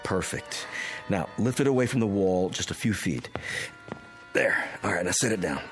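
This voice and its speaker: An actor playing a calm, methodical physicist in a radio drama, measured and giving instructions. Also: male voice, deep voice, faint music behind, poor audio. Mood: methodical, instructing. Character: calm, methodical male physicist friend investigating a mystery.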